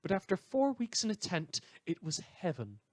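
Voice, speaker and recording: A man acts out an opinionated letter writer for a radio drama, pitched well up and very emphatic. The delivery is fussy, chatty and declamatory, in a dry, close sound. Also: huge pitch swings, normal speed, a little mellow, no echo, full character voice. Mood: chatty storytelling. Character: opinionated, indignant, chatty radio listener writing in.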